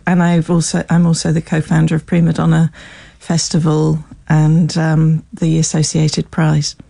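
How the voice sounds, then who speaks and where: Creaky, mellow older woman, articulate and wry. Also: low-pitched. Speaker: author and journalist, studio guest. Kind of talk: unscripted radio interview. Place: radio studio.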